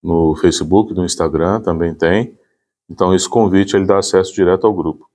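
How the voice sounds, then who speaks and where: A man's voice: deep voice, steady tone, fairly quick, mellow. A man gives an informal explanation. He speaks as a volunteer presenting community work.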